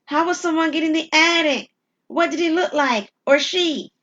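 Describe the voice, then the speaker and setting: High-pitched, alarmed, anxious wife, acted by a woman. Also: moderate pace, a bit bright, muffled recording. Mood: frightened, questioning. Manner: anxious, exclaiming. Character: alarmed, questioning wife on the phone. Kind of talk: audio drama dialogue.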